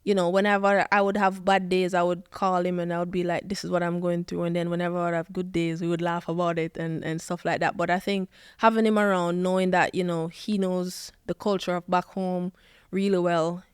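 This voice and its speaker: A woman's voice: on the low side, fairly quick, smooth, no echo, clean studio sound. A Jamaican woman in her twenties, a footballer telling stories as a podcast guest and acting out what people said. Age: 20s. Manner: animated storytelling, acts out quoted lines. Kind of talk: podcast interview.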